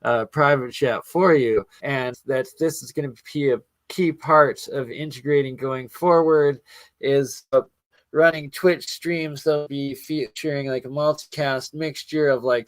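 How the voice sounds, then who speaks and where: A man's voice: fairly high pitch, steady tone, unhurried, no room sound, low-quality recording. A man in his late thirties hosting a casual livestream chat, rambling through his plans with many fillers. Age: 30s. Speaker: host.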